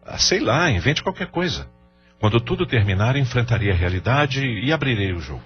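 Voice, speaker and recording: A man's voice: medium pitch, big pitch swings, brisk, lightly acted. A Brazilian man playing a distraught, guilt-ridden middle-aged father with a double life in an audio novela. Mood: distraught, pleading. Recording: dull lo-fi audio with music bed.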